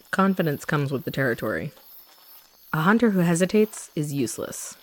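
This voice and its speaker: A woman playing a guilt-ridden young monster hunter turned gentle rebel in a roleplay audio drama, softly confiding in the listener. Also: deep voice, very animated, normal speed. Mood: calm, teasing. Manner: soft, confiding, addressing the listener directly.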